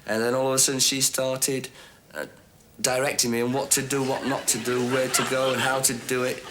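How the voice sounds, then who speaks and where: A man's voice: mid-range voice, level tone, brisk, fairly bright tone. A young man with a Yorkshire accent recapping a date as a game show contestant, rambling in a wry, sarcastic way. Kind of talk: recorded date recap interview. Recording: noisy 1980s TV recording.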